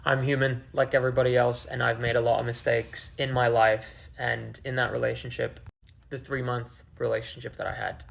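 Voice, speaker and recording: A man in his twenties records a personal vlog for his viewers. His mid-range voice has a noticeable gravelly fry. He speaks candidly about himself, with casual swearing. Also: mid-range voice, flat delivery, normal speed, fairly bright tone. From several countries including the Philippines, Australia and the UK. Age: 20s. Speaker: online video creator.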